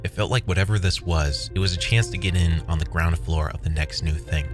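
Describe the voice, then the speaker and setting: Very deep-voiced man narrating over loud music. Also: a little fast. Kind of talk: scripted first-person horror story narration. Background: loud background music.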